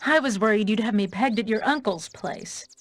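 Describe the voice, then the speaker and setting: A woman's voice: mid-range voice, normal speed, no echo, clean studio sound, natural voice. An actress playing a smug, vengeful young female villain in a video game. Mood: gloating, menacing. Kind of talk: scripted video game acting.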